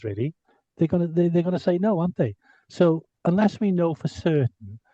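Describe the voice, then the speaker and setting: A man with a mellow voice tells a wry story during a rugby podcast. His recording sounds dull and dry. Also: a little high, no room sound. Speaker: podcast co-host. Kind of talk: casual rugby chat.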